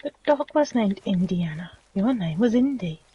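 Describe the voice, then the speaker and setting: A woman in her forties, hoarse and losing her voice, chatting playfully and teasing while gaming on a stream. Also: medium pitch, animated, normal speed, dark tone, lo-fi sound. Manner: playful, teasing, coos at animals. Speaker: streaming co-player. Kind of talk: casual chat while gaming.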